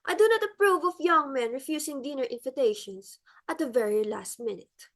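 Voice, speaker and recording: Woman acting a high-voiced, bossy society hostess. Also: lively intonation, brisk. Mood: fussy. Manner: dramatic, bossy, exclamatory. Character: determined, fussy middle-aged society hostess and mother. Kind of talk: stage play reading.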